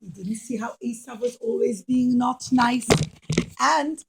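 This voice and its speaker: A woman's voice: a little high, measured pace, creaky, background noise. A woman storytelling for children at a puppet show, speaking in simple, emphatic phrases and addressing the young listeners directly. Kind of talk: animated storytelling for children, asking questions.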